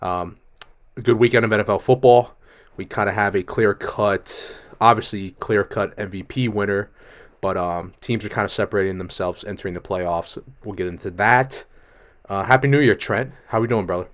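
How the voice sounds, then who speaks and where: A man hosting a casual sports betting podcast, hoarse from a cold, talking with energy and swearing freely. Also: lowish voice, normal speed. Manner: energetic, profane, jumps between topics. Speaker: podcast host.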